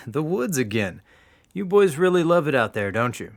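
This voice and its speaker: A man's voice: fairly high pitch, sing-song, moderate pace, no room sound, studio quality. A man playing an earnest, fatherly middle-aged dad in an audio drama. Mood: easygoing.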